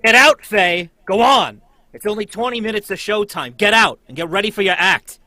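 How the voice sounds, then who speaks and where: A man's voice: sits high, moderate pace, bright, forceful tone, dry recording. An actor playing a harried male circus manager in a 1940s-style radio drama table read, barking orders. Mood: stern.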